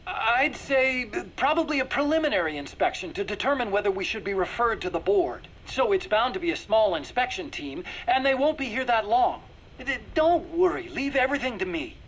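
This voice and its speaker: An actor playing an earnest, bookish male engineer in a video game, with a light, high voice and a slightly nervous, persuasive manner. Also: big pitch swings, normal speed, fairly bright tone, a little hiss or hum, low-quality recording. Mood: earnest, reassuring.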